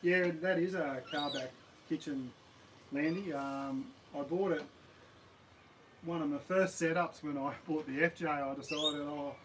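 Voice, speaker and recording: A man with an Australian accent and a slightly croaky voice, chatting casually and rambling on a live stream from his backyard. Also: a little high, measured pace, slight background noise, poor audio. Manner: rambling, relaxed, many ums and uhs. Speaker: camping channel host. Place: backyard outdoors.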